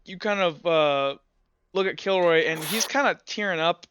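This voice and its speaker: A man's voice: high voice, moderate pace, a bit bright, a little hiss or hum. A man acting as game master, improvising tabletop roleplay narration in a casual, loose way, searching for words.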